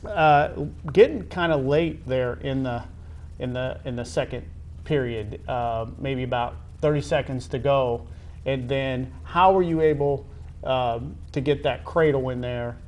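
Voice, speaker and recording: A man hosting a sports interview, asking long conversational questions in halting, wordy phrasing with repeated words. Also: medium pitch, darkish tone. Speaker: interview host.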